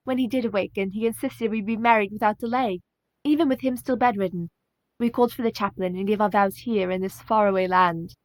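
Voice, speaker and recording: An actress playing a devoted, steadfast young Victorian wife in a radio play, formal and tender. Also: female voice, mid-range voice, a little fast, no room sound, natural voice, poor audio. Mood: earnest narrating.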